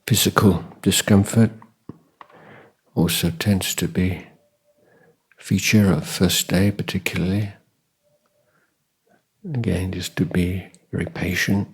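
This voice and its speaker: Slow, husky, deep-voiced male meditation teacher. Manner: calm, measured, gentle instruction with long pauses. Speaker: Buddhist monk, meditation teacher. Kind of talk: guided meditation dharma talk.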